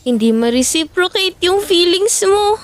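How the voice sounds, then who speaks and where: A woman's voice: very high-pitched, lively intonation, unhurried, soft background music. A woman performing an audio roleplay as a playful young best friend who teases, giggles and backtracks when flustered. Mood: teasing. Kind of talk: audio roleplay, chatting while gaming.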